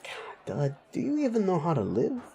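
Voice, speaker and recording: A man's voice: on the high side, huge pitch swings, normal speed, creaky, warm tone, full character voice. A man playing a sleazy, bullying, foul-mouthed werewolf boss in a roleplay audio drama, blunt and needling. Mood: exasperated, scornful. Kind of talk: immersive roleplay audio drama addressed to listener.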